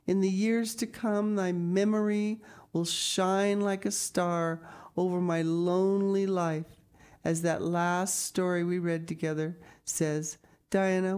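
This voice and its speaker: A woman's voice: mid-range voice, mellow, pitched above the performer's own voice, natural voice. A woman voicing a dramatic, romantic young orphan girl in a storybook, earnest and tearful, with flowery old-fashioned phrasing. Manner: flowery, archaic, theatrical phrasing. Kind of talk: audiobook character reading.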